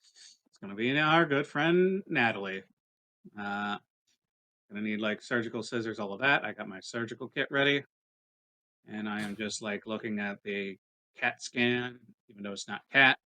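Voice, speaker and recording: A man's voice: lowish voice, normal speed, pushed and bright. A man playing a tabletop game, chatting casually and explaining things bluntly with some swearing. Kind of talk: casual game-session chat.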